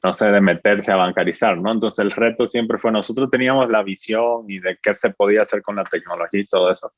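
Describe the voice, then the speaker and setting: A man in his forties with a Dominican accent, explaining his business as an interview guest in a measured way, often ending with a questioning tag. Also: lowish voice, dry recording. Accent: Dominican Spanish. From Dominican Republic. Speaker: fintech founder, guest. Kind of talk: interview answers. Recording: muffled dry sound.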